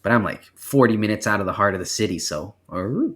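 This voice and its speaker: A male podcast guest from the United States with a slightly low voice. He chats informally and tells his stories in a halting way. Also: lively intonation, a bit bright. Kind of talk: casual chat.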